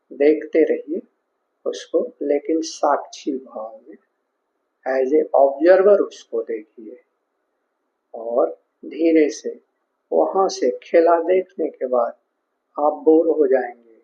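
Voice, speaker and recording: Male meditation guide, Indian accent, fairly high voice, slow instructional phrasing, dull lo-fi recording. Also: mellow. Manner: unhurried, instructional, in short pausing phrases. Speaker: meditation teacher. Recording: dull lo-fi sound.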